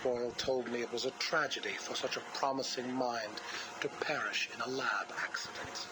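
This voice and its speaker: A man playing a grieving, cold scientist villain in a video game audio log, speaking gravely and deliberately with bitter devotion. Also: mid-range voice, fairly even intonation, close to an everyday voice. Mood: grim, pained. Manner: slow, grave, deliberate recounting. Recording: noisy interview audio log.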